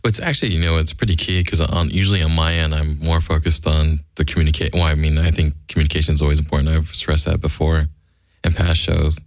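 Man radio co-host, very low gravelly voice, laid-back joker. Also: level tone, no room sound. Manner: laid-back, joking, halting restarts. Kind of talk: casual radio chat.

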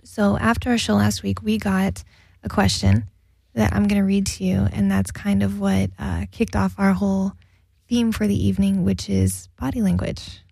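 A woman's voice: mid-range voice, little pitch movement, normal speed, gravelly, dark tone, breathy edge, dry recording, studio quality. A woman co-hosting a casual radio chat show, reading listener emails and laughing often.

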